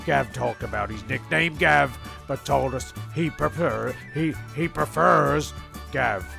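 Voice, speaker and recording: A man's voice: mid-range voice, slow, gravelly, sharp tone, soft background music. A man narrating a comic story as host, mock-dramatic and rambling.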